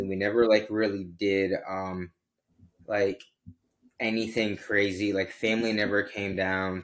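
A man in his early thirties co-hosting a podcast, chatting casually and rambling with fillers. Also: fairly low pitch. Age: 30s. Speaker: podcast co-host. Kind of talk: casual chat.

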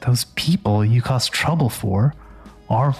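A man playing a haughty, menacing emissary of a crime family in audio fiction, condescending, sardonic and deliberate. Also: medium pitch, a little mellow, husky. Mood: cold menace. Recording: music under the voice. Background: music.

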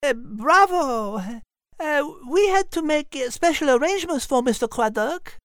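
A chirpy, teasing lady dog co-hosts in comic banter. Her voice is very high and sounds dry and close. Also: expressive, measured pace, no room sound. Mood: chirpy, puzzled. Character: chirpy, teasing female French dog co-host. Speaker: host. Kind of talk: scripted comic character banter.

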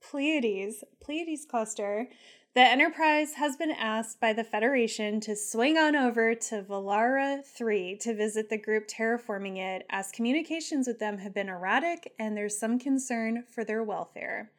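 A woman with a slightly high voice co-hosts a casual podcast. She is playful and teasing and laughs often as she recaps the plot. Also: normal speed. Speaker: podcast co-host. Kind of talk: casual chat and episode recap.

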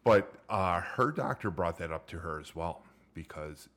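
A middle-aged man with a low voice hosting a casual chat, joking and rambling with many restarts. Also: lively intonation, unhurried, fairly bright tone. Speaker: podcast host.

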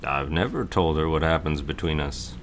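A man playing a gentle, concerned middle-aged father in an audio drama, speaking in a halting, thoughtful way. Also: extremely low pitch, slight background noise. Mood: concerned, coaxing.

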